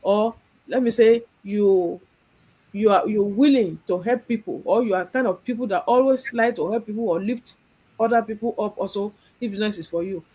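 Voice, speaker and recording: A husky woman from Nigeria hosts a live stream. She speaks earnestly and circles back over her points. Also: mid-range voice, normal speed, dark tone, poor audio. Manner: earnest, repeats herself. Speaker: host.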